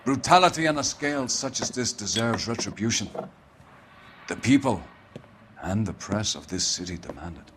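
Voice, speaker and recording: An actor playing a stern, middle-aged police captain in a video game cutscene, speaking in a formal, measured and grandiloquent way. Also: male voice, on the low side, animated, background noise, natural voice. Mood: approving, solemn.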